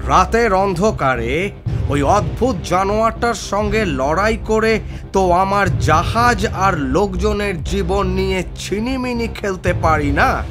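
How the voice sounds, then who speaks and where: A slow-spoken, commanding sea captain. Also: male voice, high-pitched. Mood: firm, grave. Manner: measured, authoritative. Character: resolute male ship's captain, commanding and cautious. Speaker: actor in an audio drama. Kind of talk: Bengali audio drama acting.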